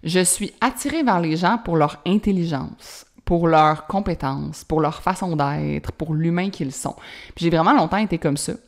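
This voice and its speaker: A woman in her thirties talks through a solo podcast episode with a strong Quebec French accent. Her voice sits low for a woman, and she rambles in a loose, friendly way, dropping fillers and bits of English slang as she goes. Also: normal speed. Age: 30s. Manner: casual, chatty, many fillers and anglicisms. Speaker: podcast host.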